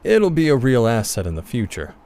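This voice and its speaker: A man playing a gruff, aloof adult guardian in a manga dub drama. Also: medium pitch, huge pitch swings, a little fast, warm tone. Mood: aloof, resigned. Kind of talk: anime-style manga dub acting.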